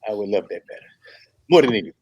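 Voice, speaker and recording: A man in a comedy table read playing an apologetic, flustered suitor who stammers and fibs, very polite and halting. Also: on the low side, expressive, darkish tone, slightly rough, no room sound, lo-fi sound. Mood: polite, evasive. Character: apologetic, flustered man, nervously fibbing to impress his date.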